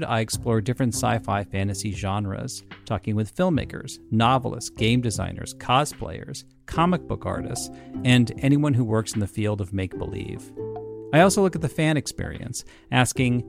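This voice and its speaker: A man hosting a podcast, reading an upbeat promo for his show. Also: gravelly. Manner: upbeat, enthusiastic pitch. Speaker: podcast host.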